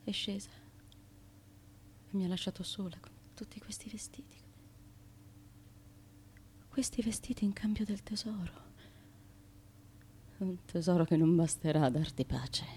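An actress playing a fragile, bewildered, devoted wife of an ancient tyrant in a radio play, moving between hushed and insistent delivery. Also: female voice, lowish voice, a little slow, pauses often, a little hiss or hum, close to an everyday voice. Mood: anguished. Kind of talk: Italian radio theatre acting.

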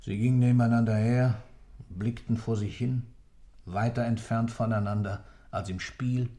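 A man's voice: medium pitch, fairly even intonation, moderate pace, mellow, natural voice. An actor playing an accused man who tells a story in an intense, theatrical, emphatic way, sometimes dropping to a whisper, in a literary radio play. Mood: somber narration.

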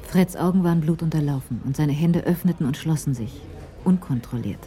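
A woman narrating a literary crime story in a radio drama, in a measured storytelling manner. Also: low voice, dark tone, lightly acted. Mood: measured, suspenseful.

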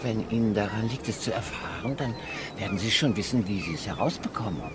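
An actress playing a worldly, wistful older lady in a German radio drama, speaking formally with a gently teasing manner. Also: very deep voice, expressive, fairly quick. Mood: knowing, teasing. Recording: clear background ambience noise.

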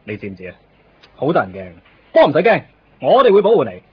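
Casting: bumbling, self-important Hong Kong constable, swooping delivery, melodramatic, dull radio-drama sound. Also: male voice, mid-range voice, huge pitch swings, quick pace, husky, full character voice. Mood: officious, dramatic. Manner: officious, melodramatic, peppered with English words. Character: excitable, self-important male police constable, overdramatic and bumbling. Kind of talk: comic radio drama acting.

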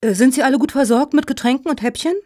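A woman's voice: high-pitched, very fast, a little mellow, dry recording. Composed, needling female host figure played by a woman, formal, dry studio sound, satirical audio drama. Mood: polite, needling. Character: polite, needling female foundation hostess, composed. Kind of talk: satirical radio play dialogue.

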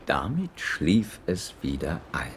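A man's voice: a bass voice, wildly swooping pitch, slow pace, a little hiss or hum, exaggerated voice. A man playing a wry, leisurely storyteller who narrates a children's radio play in rhyme.

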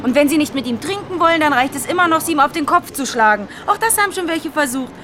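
Sassy teen girl, radio play, really high voice, sarcastic, noisy vehicle behind. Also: expressive, quick pace. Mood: weary, dry. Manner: snappy, sarcastic backtalk. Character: cheeky, defiant fifteen-year-old girl, sharp-tongued and fed up. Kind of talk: 1970s German radio play acting. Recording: loud vehicle noise behind the voice. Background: engine and street noise of public transport.

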